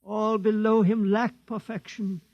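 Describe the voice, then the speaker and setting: Pompous old preacher in a 50s radio sci-fi play, high cracked creaky voice. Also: male voice, little pitch movement, unhurried, dry recording, lo-fi sound. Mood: solemn preaching. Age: older. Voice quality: creaky, husky. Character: frightened, corrupt, pompous old holy man. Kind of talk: 1950s science fiction radio drama acting. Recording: dry old radio recording.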